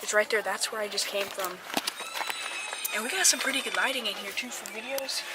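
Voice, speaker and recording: A woman filming a prank vlog from a hiding place inside a big store, keeping her voice low and often whispering. Loud store noise runs constantly behind her, and the camera sits close. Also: brisk. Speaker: prank vlogger. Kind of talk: casual vlog narration. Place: inside a large retail store, hidden in a fort of bags.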